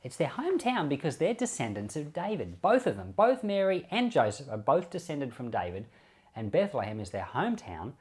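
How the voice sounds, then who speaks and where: A man's voice: a little high, wildly swooping pitch, a little fast. An Australian man speaking as a pastor, explaining scripture in an informal, conversational preaching style, often repeating short phrases. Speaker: pastor and Bible podcast host. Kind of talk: informal Bible commentary and preaching.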